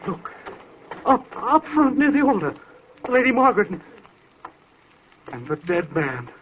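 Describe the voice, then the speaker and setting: An actor playing an uneasy, fearful lord's manservant, hesitant and anxious, in old radio drama style. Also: male voice, high-pitched, sing-song, a little slow. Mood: uneasy, alarmed. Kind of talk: 1940s radio acting. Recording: muffled, noisy old radio recording.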